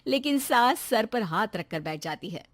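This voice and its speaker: A woman's voice: fairly high pitch, lively intonation, normal speed, no room sound, clean studio sound. A woman narrating a scripted story.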